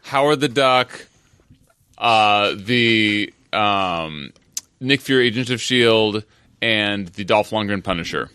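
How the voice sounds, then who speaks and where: A man's voice: fairly low pitch, takes it slowly, bright, forceful tone, smooth, no room sound. A man rambling and teasing in a casual comics podcast chat. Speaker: podcast co-host.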